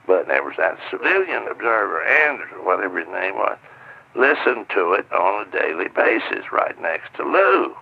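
An old American veteran being interviewed in an audio drama. His voice is deep and gravelly, with lively swings as he haltingly looks back, reflective and cautious. The recording is slightly dull. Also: exaggerated voice. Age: older. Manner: halting, conversational recollection. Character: old retired military codebreaker, reflective and wary.

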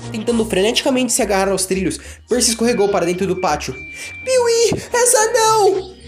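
A man's voice: sits high, fast, music in the background. A man with a Brazilian accent narrating a scripted children's story.

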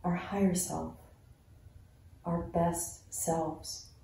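A woman leading a guided meditation in a soothing, unhurried manner with long pauses between phrases. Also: lowish voice, flat delivery, vocal fry, warm tone, slight background noise. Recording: very echoey, reverberant sound.

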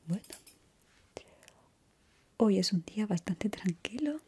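A young woman performs an ASMR barber roleplay in a gentle, breathy whisper. Her tone is dark and mellow, and she speaks slowly and soothingly. There is clear noise and some clicking behind her. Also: medium pitch, expressive. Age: young adult.

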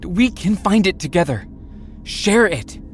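A man's voice: high voice, lively intonation, measured pace, soft background music. An actor playing a secretive teenage boy who is caught out, defensive and pleading in an audio drama.

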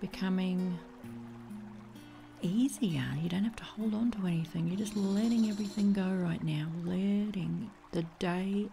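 A woman guides a meditation at a very slow pace, her tone dark and relaxed. She speaks soothingly and gently, pausing between phrases, with music playing underneath. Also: medium pitch, gravelly. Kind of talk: guided body scan meditation.

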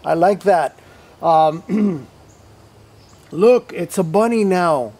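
A man with a fairly high voice walks through an airbrush demo at an unhurried, drawn-out pace. He rambles, piling up fillers and restarts, and drops in playful asides. He is recorded at a tabletop in an indoor studio. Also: a little mellow. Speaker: host of an airbrush tutorial show. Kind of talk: casual product demonstration.

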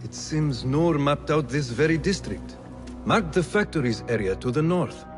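A calm, practical man of a secret assassin order in a video game, played by an actor. His voice sits a little high and he explains things with composure. Faint music runs underneath. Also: moderate pace, close to an everyday voice. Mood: calm, informative. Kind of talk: cinematic video game acting.

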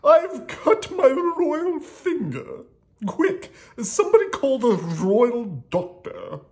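A woman voicing an excitable, pompous king in a children's storybook, with theatrical, drawn-out exclamations. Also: high voice, pitched above the performer's own voice, heavily acted. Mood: wailing, upset.

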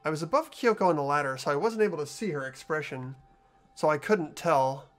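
Guy doing earnest unsure teen boy from a game, kinda high voice, really animated, sympathetic and hesitant. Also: fairly quick, close to an everyday voice. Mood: subdued, reflective. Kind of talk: amateur video game voice acting. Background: background music.

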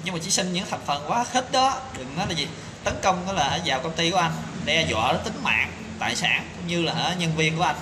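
A man's voice: fairly high pitch, lively intonation, brisk. Indignant man ranting in echoey room. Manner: indignant, rhetorical questions, many fillers. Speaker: commentator. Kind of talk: opinion monologue to camera. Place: small tiled room indoors. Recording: echoey tiled room with background noise.

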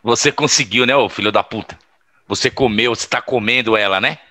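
An actor playing a teasing, foul-mouthed young buddy in an audio drama, raspy and mocking with slangy speech. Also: male voice, medium pitch, fairly quick, bright, forceful tone. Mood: crude, mocking.

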